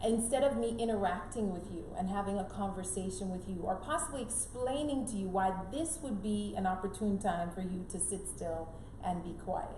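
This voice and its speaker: A woman's voice: mid-range voice, moderate pace, hardly any pauses, warm tone. A middle-aged woman raised in Canada and born in Jamaica, giving earnest conversational advice as an interview guest, emphatic and repeating key phrases. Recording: roomy echo, clear background noise.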